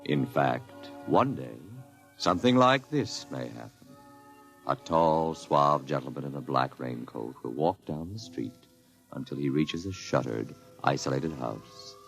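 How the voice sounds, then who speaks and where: Very deep, creaky ominous radio storyteller. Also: male voice, lively intonation, unhurried, heavily acted. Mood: ominous, musing. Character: ominous, musing storyteller of eerie science fiction tales. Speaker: narrator. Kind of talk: 1950s radio drama narration. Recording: old radio recording with music.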